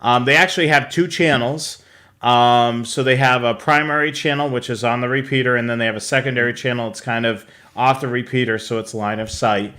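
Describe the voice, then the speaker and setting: An American man co-hosting a live hobby show, chatting casually with halting, enthusiastic delivery. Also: medium pitch, fairly even intonation, bright, forceful tone. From New England, United States.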